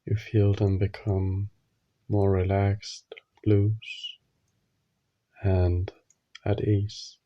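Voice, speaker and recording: Slow, deep-voiced man guiding meditation. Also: little pitch movement, no echo, muffled recording. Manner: gentle instruction in short phrases with long pauses. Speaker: meditation guide. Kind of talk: guided meditation narration.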